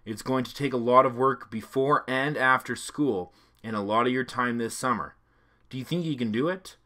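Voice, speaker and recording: Gentle storybook mother worm, read by a man, calm and bright. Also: mid-range voice, moderate pace, lightly acted. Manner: calm explaining.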